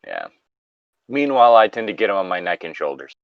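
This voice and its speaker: A man bantering casually and reading a story aloud haltingly, recorded on a slightly dull mic. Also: deep voice, wildly swooping pitch, vocal fry, no echo.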